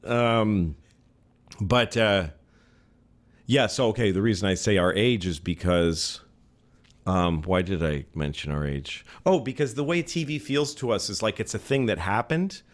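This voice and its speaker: A man in his forties chats as a podcast guest in a slightly low voice. He rambles, restarts his sentences and drifts into sports comparisons. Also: measured pace. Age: 40s. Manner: rambling, self-interrupting, with sports analogies. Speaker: comedian guest. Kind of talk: casual podcast conversation.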